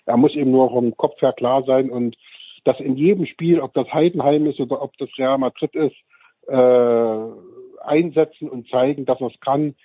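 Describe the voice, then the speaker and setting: A man with a raspy, somewhat husky voice gushes about players in a loose, rambling way. His voice comes through a thin, phone-like line with no room sound at all. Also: medium pitch, moderate pace, dry recording. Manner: enthusiastic, rambling praise with fillers. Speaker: sports panel guest. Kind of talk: casual sports talk.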